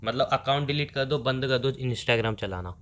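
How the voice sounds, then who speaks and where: A man's voice: mid-range voice, moderate pace, a bit bright, close to an everyday voice. A demanding young boyfriend, acted out by a man in a phone-call skit. He talks conversationally, pressing and testing with teasing insistence. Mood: insistent, testing. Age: young adult. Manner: insistent, badgering.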